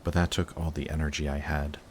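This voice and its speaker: A man playing an emotional villain confessing to his longtime enemy in a dramatic audio roleplay, intense, halting and defensive. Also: extremely low pitch, little pitch movement, darkish tone, a little hiss or hum. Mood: bitter, subdued.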